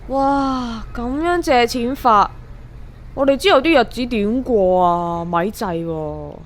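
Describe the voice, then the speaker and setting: Young home-buyer, Hong Kong Cantonese accent, high voice, earnest, emphatic, fed up, radio drama. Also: female voice, slow pace. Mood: worried.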